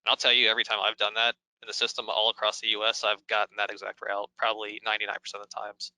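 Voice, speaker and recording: American guy hosting a q&a, super forceful bright voice, talks fast, chatty and teachy. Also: fairly low pitch, completely flat delivery, no room sound, poor audio. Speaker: host, pilot and flight instructor. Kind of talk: conversational Q&A hosting.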